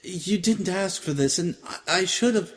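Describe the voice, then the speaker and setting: A man's voice: high-pitched, long reverb tail, voice pushed up. A man voicing a shy, flustered young mare musician who stammers and apologizes. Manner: stammering, halting.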